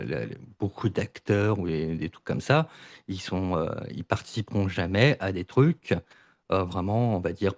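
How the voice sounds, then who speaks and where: Low-voiced, opinionated Frenchman, dry sound. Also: dry recording. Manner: opinionated, colloquial, stumbling over repeated words. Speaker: panelist in a debate. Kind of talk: casual, opinionated debate talk.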